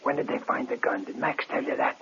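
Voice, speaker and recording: A man's voice: medium pitch, huge pitch swings, a little fast, gravelly, a bit bright, breathy edge, heavily acted. A man acting an anxious, guilty prisoner in a 1950s radio drama, urgently pleading and pressing with questions. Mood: uneasy, hoping. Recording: muffled noisy old radio recording.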